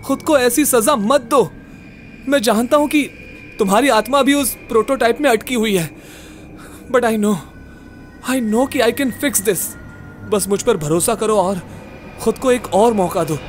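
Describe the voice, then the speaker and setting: A man playing an earnest, excitable young ghost-case solver in a horror-comedy audio drama, colloquial and mixing in English. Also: very high-pitched, measured pace, pauses often. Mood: reassuring, earnest. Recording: dramatic music under voice.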